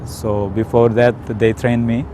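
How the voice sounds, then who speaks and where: A man from Indonesia with an Indonesian accent, telling his personal story in a hesitant, careful way with frequent pauses. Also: medium pitch, flat delivery, background noise. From Indonesia, South Sumatra. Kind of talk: unscripted personal testimony.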